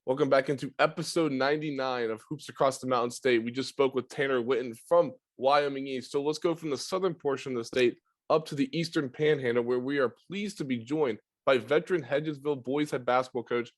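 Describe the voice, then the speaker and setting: A man hosts a basketball podcast with a mid-pitched voice. His long questions wander and lean on fillers. The sound is dry and close. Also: a little fast, no echo. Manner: chatty, filler-heavy questions. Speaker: podcast host. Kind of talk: sports interview podcast.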